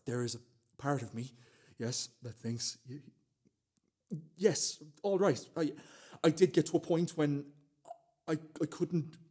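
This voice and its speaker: Male actor as nervy ordinary man confiding in a radio play monologue. Lowish, husky, halting, self-correcting. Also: a little mellow. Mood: uneasy, confessional. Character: nervy, self-justifying, sweary man confiding domestic life.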